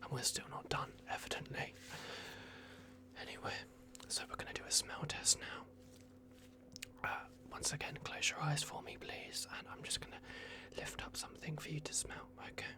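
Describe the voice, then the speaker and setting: A performer voicing a soft-spoken, bumbling vampire doctor in an ASMR roleplay, whispering hesitantly and repeating reassurances. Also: mid-range voice, flat delivery, moderate pace, lightly acted. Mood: calm, instructing. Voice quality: whispered, creaky. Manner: hushed, hesitant, repetitive, reassuring.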